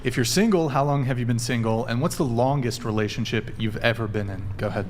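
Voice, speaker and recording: Guy hosting a dating panel, mid-pitch voice, rapid questions, faint room hum. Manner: quick, probing questions with restarts. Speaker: podcast host. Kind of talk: casual panel chat.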